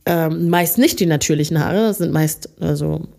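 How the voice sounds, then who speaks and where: A woman from Rwanda, co-hosting a relaxed bedtime podcast chat and telling stories from her youth. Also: low-pitched, moderate pace, vocal fry. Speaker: podcast co-host.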